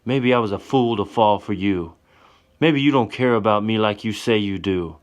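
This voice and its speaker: A man voicing a passionate, defiant young woman in a told story, his voice pushed high and strained with emotion. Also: normal speed, lo-fi sound, natural voice. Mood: heartbroken. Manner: pleading, emotional outbursts.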